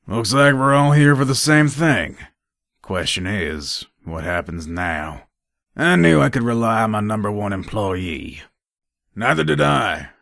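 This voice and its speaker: A shrewd male gun trader and robber from a video game, played by a male actor. His voice sits a little low and moves up and down a lot as he makes his pitch. He is casual and businesslike, a hard bargainer, and the recording is close and dry. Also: big pitch swings, a little slow, creaky, a bit bright, no echo, exaggerated voice. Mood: businesslike, guarded. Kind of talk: video game character dialogue.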